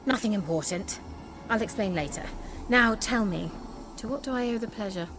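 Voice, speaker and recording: A woman's voice: mid-range voice, moderate pace, over music, close to an everyday voice. An actress playing a poised, sly sorceress in a fantasy game, refined and teasing as she coaxes. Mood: startled, evasive.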